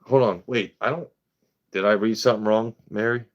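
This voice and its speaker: A man's voice: fairly low pitch, fairly even intonation, moderate pace, muffled recording. A man in his thirties hosting a casual livestream, talking bluntly with lots of swearing and rambling asides. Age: 30s. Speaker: whiskey channel host.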